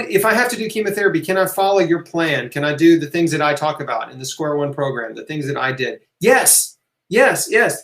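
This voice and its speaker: High-voiced man, emphatic and encouraging. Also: a bit bright. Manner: emphatic, encouraging, repeats key phrases; informal, conversational, checks in with "right?" and "okay?". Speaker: host of a live health Q&A. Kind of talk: casual live question-and-answer talk. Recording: roomy, echoing room sound.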